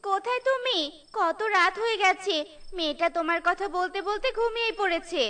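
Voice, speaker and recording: A woman's voice: very high-pitched, a little fast, pushed and bright. A woman voicing a caring, anxious wife and mother bird in a cartoon, speaking earnestly. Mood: fretful.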